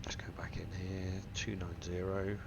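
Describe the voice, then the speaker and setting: Gravelly, congested man walking through a cockpit. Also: sits low, flat delivery, moderate pace, muffled recording. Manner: methodical, talks through each setting aloud. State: stuffy nose from a cold. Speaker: flight sim tutorial host. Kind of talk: step-by-step cockpit walkthrough. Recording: simulator engine and cabin noise behind. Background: aircraft simulator hum.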